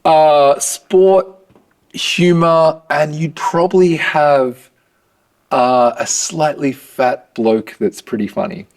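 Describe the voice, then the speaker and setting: A man's voice: a little high, takes it slowly. A man with an Australian accent, talking casually in an interview. He answers with easy humor and pokes fun at himself. He speaks as a program director describing his work. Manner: relaxed, self-deprecating humor.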